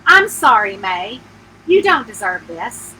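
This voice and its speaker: A woman's voice: medium pitch, very animated, slow, bright, forceful tone, slight background noise, voice pushed down, full character voice, poor audio. Woman reading as a matter-of-fact male lawyer. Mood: apologetic. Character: Stanley, matter-of-fact male lawyer delivering bad news.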